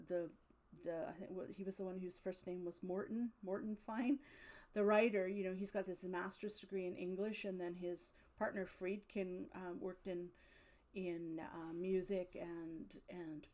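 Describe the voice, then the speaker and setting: Mellow woman, casual and conversational. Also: mid-range voice. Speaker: video host reviewing old radio drama. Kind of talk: casual unscripted commentary.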